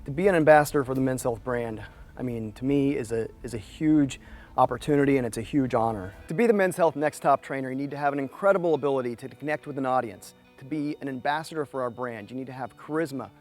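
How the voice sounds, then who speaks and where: A man's voice: medium pitch, quick pace, a little mellow, soft background music. A man judging a fitness competition, speaking directly and earnestly in an interview about what he expects. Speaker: competition judge. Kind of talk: talking-head interview.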